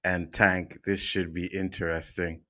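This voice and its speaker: A man's voice: low voice, flat delivery, measured pace, pushed and bright. A man co-hosting a casual livestream show, hearty and teasing, laughing often. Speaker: co-host.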